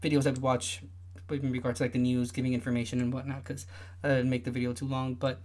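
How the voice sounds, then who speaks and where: A young man with a light, high voice that listeners often mistake for a woman's. He hosts a relaxed livestream, chatting loosely and filling gaps with lots of "uh"s and restarts. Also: fast talker. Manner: rambling, many filler sounds and restarts. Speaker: livestream host. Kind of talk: casual livestream chat.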